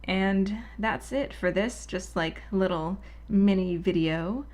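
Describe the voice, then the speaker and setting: A woman hosting her own channel, talking unscripted and alone at her microphone in a soothing, relaxed, rambling and candid way. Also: medium pitch, slow. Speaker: channel host. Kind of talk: unscripted casual monologue. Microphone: solo at her own microphone.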